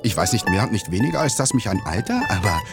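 An actor playing a jolly, boastful but cowardly bird catcher in a theatrical radio play, with comic, hesitant bluster. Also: male voice, fairly low pitch, sing-song, fast, gravelly. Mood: chatty. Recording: music behind the voice.

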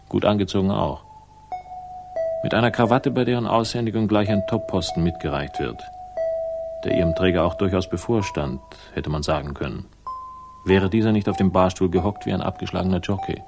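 An actor playing a wry, world-weary detective, narrating a radio crime drama in a dry, sardonic manner. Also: male voice, sits low, lively intonation, brisk, gravelly, music in the background.

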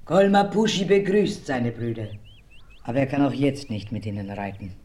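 An actor playing a proud, solemn native warrior who talks of himself in the third person, in a radio play. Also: male voice, mid-range voice, expressive, normal speed, a little mellow, close to an everyday voice. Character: proud disguised native warrior, secret mother. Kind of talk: 1970s radio play acting.

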